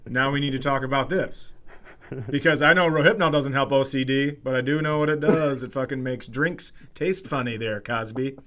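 A man's voice: mid-range voice, fairly even intonation, normal speed, a bit bright. A man co-hosting a podcast, chatting casually and making crude jokes in a dry way. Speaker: podcast co-host. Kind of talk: casual comedic banter.

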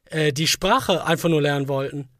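A man's voice: a little high, moderate pace, a bit bright, no echo. A man chats as a podcast co-host. He speaks in short, colloquial, joking remarks, recorded dry and close. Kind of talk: casual chat.

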